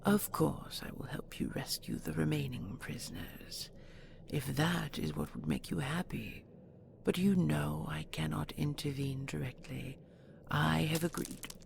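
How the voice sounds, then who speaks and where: Slow, gravelly, regal dragon. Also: female voice, sits low, animated, darkish tone, slight background noise, heavily acted. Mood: tender, possessive. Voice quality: gravelly, breathy growls. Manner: haughty, theatrical, slow deliberate threats. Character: proud, menacing female dragon, regal and possessive. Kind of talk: audio roleplay acting.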